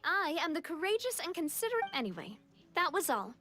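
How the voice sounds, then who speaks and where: A woman's voice: very high-pitched, sing-song, fairly quick, over loud music. An actress playing a poised, considerate and self-assured young lady in a video game. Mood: composed, considerate. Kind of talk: video game character acting.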